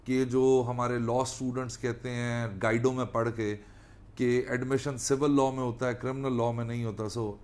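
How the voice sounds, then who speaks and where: A man's voice: mid-range voice, flat delivery, moderate pace, darkish tone. A man with a Pakistani accent giving an explanatory law lecture, didactic, restating points and mixing in English legal terms. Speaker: law lecturer and defence attorney.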